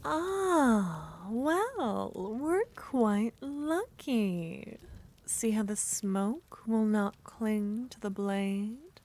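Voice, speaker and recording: A woman voicing a seductive, possessive witch, speaking softly in half whispers with a teasing manner in an ASMR roleplay. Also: a little high, animated, very slow.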